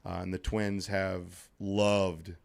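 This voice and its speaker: A man in his thirties co-hosts a relaxed podcast, talking into a studio mic. His voice sits low and carries heavy fry, roughened further by allergies into a scratchy hoarseness. He keeps things upbeat and jokey, rambling along with plenty of ums. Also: takes it slowly. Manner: upbeat, joking, rambling with fillers. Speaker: podcast co-host. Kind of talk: casual podcast chat. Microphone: studio microphone.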